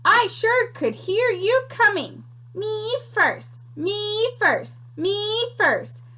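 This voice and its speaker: A crackly little female sand creature, put on by a woman reading aloud, bossy and smug, drawing every word out slowly. The voice sits high and swoops a lot. It is a storybook character, not an everyday voice. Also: big pitch swings, sharp tone, full character voice. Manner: slow and drawn out.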